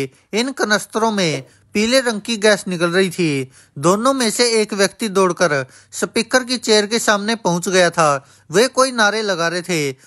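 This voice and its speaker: Male news narrator, high-pitched, formal scripted voice-over delivery. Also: fairly bright tone.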